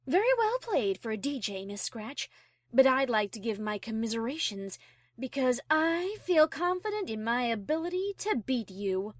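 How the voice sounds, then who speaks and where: A haughty, high-society mare played by a woman in a storybook drama. Her voice sits a little high and swings widely in pitch. She is prim, formal and competitive, recorded dry and close. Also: very animated, normal speed, no echo. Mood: smug, competitive. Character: haughty high-class female pony cellist, prim and competitive. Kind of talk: audiobook character acting.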